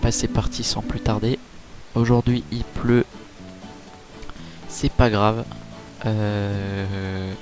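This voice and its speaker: A man giving casual, chatty let's-play commentary over a game, full of fillers and quick reactions. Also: fairly low pitch. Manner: chatty, filler-heavy, easily impressed. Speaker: gaming YouTuber. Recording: game music playing behind.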